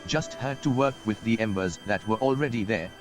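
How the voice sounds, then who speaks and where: A man's voice: lowish voice, brisk, close to an everyday voice. An actor playing an older male mentor who talks in a folksy, wry, encouraging way, with game music behind him. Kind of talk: game voice acting.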